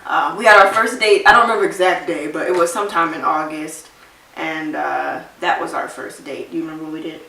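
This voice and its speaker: A woman in her twenties chatting casually with her partner on a vlog, teasing and quick to laugh. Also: low voice, fairly even intonation, vocal fry, bright, forceful tone, far from the mic, slight background noise. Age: 20s. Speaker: vlog co-host. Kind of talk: casual couple chat. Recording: roomy, mic set back.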